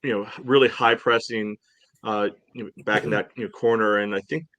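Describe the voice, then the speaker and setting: Male sports podcast host, lowish register. Casual match breakdown, halting phrasing full of "you know.". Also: fairly even intonation, fairly bright tone, dry recording.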